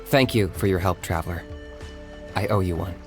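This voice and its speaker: A low-voiced, earnest young warrior. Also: male voice, moderate pace, music in the background. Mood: calm, sincere. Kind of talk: anime game voice acting.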